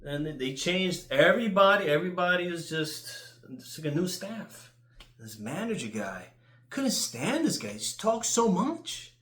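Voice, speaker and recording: A man with a New York accent telling casual, rambling stories in an animated, crude way, swearing freely. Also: high-pitched, moderate pace, roomy sound. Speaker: YouTuber.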